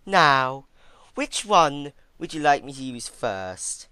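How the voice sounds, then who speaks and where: A man voicing a sadistic, gleefully unhinged female pony villain in a story, taunting in a mock-sweet, drawn-out way. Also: mid-range voice, big pitch swings, pushed and bright, smooth, no room sound, voice pushed up, exaggerated voice.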